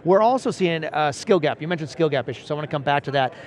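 A man's voice: a little high, fast. A man in his forties, a principal analyst, steadily explaining research findings in a seated conversational interview at a convention booth. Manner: steady explanatory, cites statistics, frequent "right?". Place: busy convention show floor booth.

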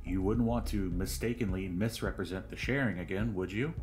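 Low-pitched, coolly controlling man, acted. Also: fairly quick, a little mellow, faint music behind, close to an everyday voice. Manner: terse, formal, veiled threat. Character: controlling, coolly menacing male official of secretive group. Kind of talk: audio drama acting.

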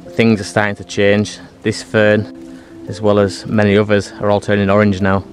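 A British man in his thirties talks to a handheld camera in a pine forest, easygoing and rambling, full of fillers and restarts. His voice sits fairly low. Music plays clearly beneath the talk. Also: monotone, normal speed. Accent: British English. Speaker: bushcraft vlogger. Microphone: handheld camera at arm's length. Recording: background music behind the voice.

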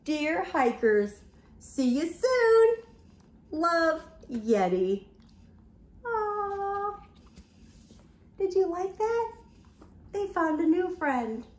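Slow, animated teacher reading to little kids. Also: female voice, very high-pitched, reverberant. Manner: animated storytelling with gasps and whispers. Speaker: teacher reading aloud to young children. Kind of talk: picture-book read-aloud. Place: home room, indoors.

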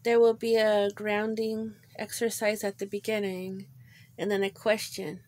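Slow-paced woman guiding a meditation. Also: mid-range voice, level tone. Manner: gentle, unhurried, pausing between short phrases. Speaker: guided meditation leader. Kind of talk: guided meditation, visualization narration.

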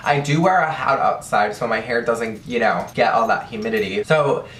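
A man's voice: medium pitch, normal speed, fairly bright tone, reverberant, far from the mic. A young man from Texas chatting casually through a hair-care tutorial in his bedroom, gushing and saying "like" often, filmed on a camera a short way off. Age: young adult. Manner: chatty, gushing, heavy use of "like". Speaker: beauty vlogger. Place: bedroom, indoors.

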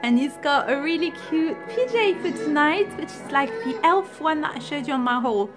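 A young mum with a high voice chats casually for a home vlog. She gushes with excitement, and music plays behind her. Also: normal speed. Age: young adult. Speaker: vlogger and mother. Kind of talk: casual home vlog chat, talking to camera and child.

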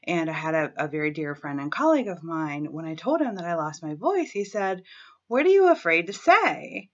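Hoarse woman with a head cold, webcam vlog. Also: on the low side, very animated, normal speed. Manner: frank and brazen, clears throat often. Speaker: tapping coach. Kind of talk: self-help vlog with guided tapping. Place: small room at home, indoors. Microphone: laptop webcam. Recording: dull lo-fi webcam sound.

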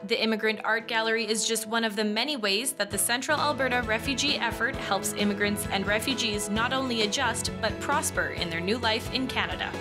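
Woman reporter reading a crisp voice-over. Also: on the high side, brisk, hardly any pauses. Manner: crisp, even news read. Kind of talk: scripted news narration. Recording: voice-over with music bed.

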